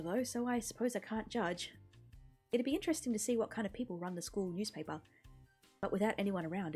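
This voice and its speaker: Husky-voiced woman streaming a game read-through. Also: on the low side, dark tone. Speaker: streamer playing a visual novel. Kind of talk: let's play, reading game text aloud with casual asides. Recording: music playing behind the voice. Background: game music.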